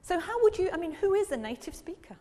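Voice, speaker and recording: A woman's voice: very high-pitched, sing-song, fast, dark tone. A woman professor giving a public lecture on language teaching, asking the audience questions as she goes. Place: lecture hall. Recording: long reverb of a lecture hall.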